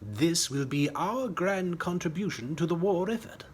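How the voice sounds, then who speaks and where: Man playing a male wartime pilot, storybook character, excitable, pompous, jaunty old-fashioned bluster, husky tone. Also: on the high side, unhurried, pitched above the performer's own voice. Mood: grandly enthused.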